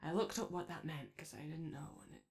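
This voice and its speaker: A woman voicing an anxious, gentle male animatronic daycare robot in ASMR roleplay, with a strained, raspy voice and hesitant, apologetic speech. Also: low-pitched, very animated, fairly quick, full character voice. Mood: insecure, wistful. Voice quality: strained, raspy, creaky, as if voice lost from yelling. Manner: hesitant, nervous, stammering, apologetic. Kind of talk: ASMR roleplay comfort audio.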